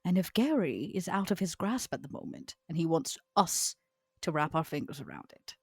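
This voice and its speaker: A woman's voice: low-pitched, big pitch swings, normal speed, breathy edge, no room sound, studio quality, full character voice. A woman playing a proud, scornful old vampire in a tabletop roleplay, with haughty, theatrical, formal phrasing. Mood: proud, defiant. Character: proud, scornful old female vampire named Vera, elegant and ambitious.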